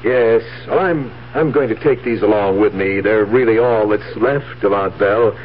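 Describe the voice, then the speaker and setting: A man acts out a coolly calculating nephew who puts grief over his greed. His voice sits fairly low, in 1970s radio drama style. The old recording is dull and clearly noisy. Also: moderate pace, lightly acted. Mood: feigned grief.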